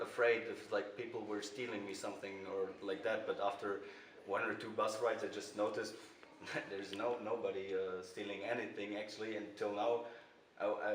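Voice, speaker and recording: A young man from Germany, talking hesitantly, repeating words and trailing off quietly. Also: fairly low pitch. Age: young adult. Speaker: interviewee. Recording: echoey, distant, faint noise.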